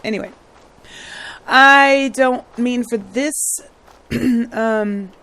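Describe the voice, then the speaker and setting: A woman with a hoarse throat, chatting casually and rambling as she hosts a gaming build video, often laughing and clearing her throat. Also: on the high side, drawn-out words, bright, forceful tone, rough recording. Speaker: gaming channel host. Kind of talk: casual gameplay commentary.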